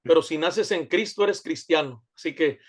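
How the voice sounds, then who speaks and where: A fairly high-voiced man from the United States, a pastor answering interview questions. He talks in an earnest, preaching way, stopping and restarting his sentences. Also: moderate pace. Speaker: pastor, guest. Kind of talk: conversational interview.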